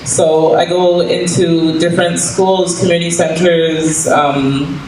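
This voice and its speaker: A man's voice: on the high side, flat delivery, takes it slowly, darkish tone. A man from Canada introducing himself informally as a panelist and education consultant. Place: indoor public panel event. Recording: echoey room, distant mic, noisy.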